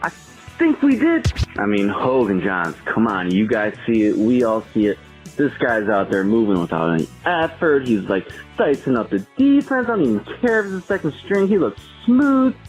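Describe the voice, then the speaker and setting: A man leaving an excitable, emphatic sports fan rant as a voicemail. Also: medium pitch, moderate pace, low-quality recording. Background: music.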